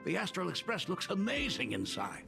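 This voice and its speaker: A man's voice: high voice, wildly swooping pitch, moderate pace, creaky, music in the background, full character voice. An actor playing an eager, earnest artist and fond father in a video game, hesitant and full of wonder. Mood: curious, admiring. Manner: hesitant, marveling.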